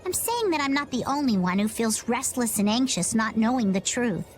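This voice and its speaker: Woman as an earnest, high-voiced innocent youngster. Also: lively intonation, normal speed, lightly acted. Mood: earnest, wistful. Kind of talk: English game cutscene voice acting. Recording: music behind the voice.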